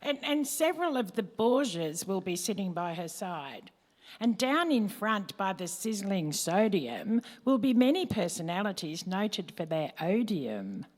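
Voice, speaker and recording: A woman's voice: mid-range voice, sing-song, measured pace, darkish tone. A woman playing a helpful, chatty attendant soul in Hades, explaining things in a rhymed radio play. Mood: obliging. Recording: reverberant hall recording.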